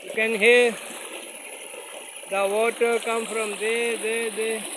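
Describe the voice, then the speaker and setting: A man whose first language is Urdu, vlogging outdoors by a mountain stream in halting, simple English with many fillers, filmed on a phone without a separate mic. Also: sits high, level tone, background noise. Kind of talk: casual vlog narration. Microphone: phone camera, no separate mic.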